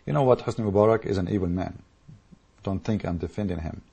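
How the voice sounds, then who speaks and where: Male commentator, English, dark mellow husky voice, heated monologue with repeated restarts. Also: low voice, quick pace. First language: Arabic. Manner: rhetorical questions, repetitive restarts, emphatic. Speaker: political commentator. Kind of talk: impassioned monologue to camera.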